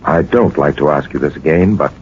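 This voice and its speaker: An actor playing a suave, composed gentleman detective, polite and measured, in a 1940s radio drama. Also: extremely low pitch. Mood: calm, probing. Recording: muffled, hissy 1940s radio broadcast recording.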